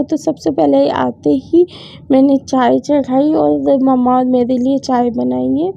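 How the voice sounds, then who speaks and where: High, gravelly-voiced woman with a sore throat. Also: dark tone. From India. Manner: chatty, mixing English words into Hindi. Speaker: vlogger. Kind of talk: casual vlog narration. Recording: dull lo-fi sound.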